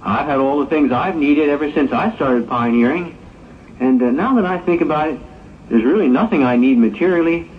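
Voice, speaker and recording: A man's voice: a little high, normal speed, phone-quality sound, natural voice. A man playing an earnest, contented young religious volunteer in a 1960s audio drama, speaking persuasively. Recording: thin old tape with hiss.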